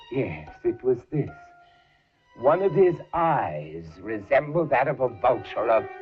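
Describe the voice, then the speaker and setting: An actor playing a nervous, obsessive murderer who insists he is sane, in a halting, theatrical gothic horror monologue with muffled, old radio-like sound. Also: fairly high pitch. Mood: brooding, haunted. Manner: halting, repetitive, dramatic delivery.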